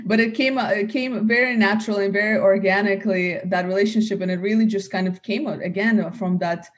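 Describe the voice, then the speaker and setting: A chatty woman from Russia, a company co-founder, speaks casually in an interview. She fills her talk with "you know" asides and keeps circling back to repeat herself. Her pitch is middling for a woman. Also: steady tone, a little fast.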